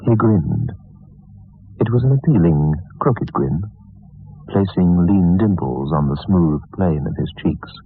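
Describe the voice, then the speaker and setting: An actor as the grave storyteller of a radio mystery, narrating in a measured, literary and dramatic manner. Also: male voice, low voice, lively intonation, slightly rough, dry recording, faint music behind, full character voice. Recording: muffled, dull vintage radio recording.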